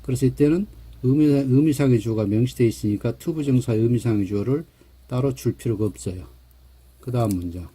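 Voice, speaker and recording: A middle-aged man with a Jeolla Korean accent giving a relaxed English grammar lecture, pausing on fillers and correcting himself. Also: medium pitch, slightly rough. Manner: relaxed, slightly hesitant, with fillers and self-corrections.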